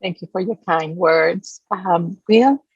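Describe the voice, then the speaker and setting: A middle-aged woman from Puerto Rico with a Puerto Rican accent, a native Spanish speaker, talking haltingly as an interview guest. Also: lowish voice, breathy edge, dry recording. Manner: halting, searching for words. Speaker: interview guest, business association founder. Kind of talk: conversational personal storytelling. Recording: slightly dull, lo-fi sound.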